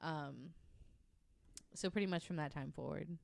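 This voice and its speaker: A woman's voice: deep voice, unhurried, gravelly, darkish tone, dry recording. A woman hosting a podcast, telling nonfiction stories casually from her notes with informal, wry asides. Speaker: podcast host.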